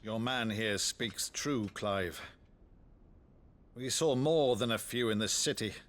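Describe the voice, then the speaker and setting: An actor playing an older noble uncle in a fantasy game, blustery yet affectionate, with a theatrical, pompous way of talking. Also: male voice, on the low side, slow, fairly bright tone. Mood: earnest. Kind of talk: cinematic game dialogue.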